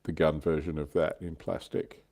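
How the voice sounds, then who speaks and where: A man with a very low, soft-edged voice hosts a relaxed hobby livestream. He talks casually and wryly, dropping in blunt slang and dry jokes as he comments. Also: normal speed, creaky. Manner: wry, joking, blunt slang. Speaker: livestream panel host.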